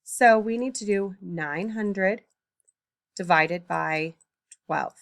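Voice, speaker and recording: A woman teaching a recorded math lesson, working problems aloud, thinking out loud and correcting her own slips. She is tired, early in the morning. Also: medium pitch, slow pace, creaky, no room sound, clean studio sound.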